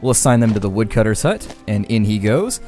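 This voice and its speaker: A man's voice: on the low side, sing-song, a little fast, a little mellow. A man giving casual, chatty let's-play commentary on a game, with folksy slangy phrasing. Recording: game music behind the voice. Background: game music.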